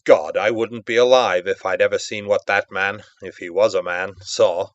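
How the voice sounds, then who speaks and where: A man's voice: fairly low pitch, fairly even intonation, normal speed, no echo, dull audio, lightly acted. A man playing a nervy, confiding art devotee who tells a horror story in an emphatic, conversational, theatrical way. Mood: uneasy, confiding. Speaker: audiobook narrator. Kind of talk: dramatic first-person story reading.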